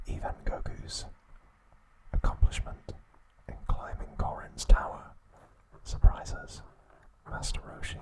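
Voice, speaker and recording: A man hosting ASMR, narrating a recap in a breathy, hushed whisper with short, halting phrases. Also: rough recording. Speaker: ASMR host.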